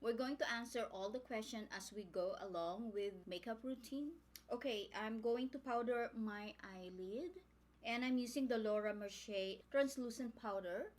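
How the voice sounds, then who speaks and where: A woman's voice: on the high side, moderate pace. A woman from the Philippines with a Filipino accent, whose first language is Tagalog, chatting through a makeup tutorial as a beauty vlogger and talking directly to her viewers.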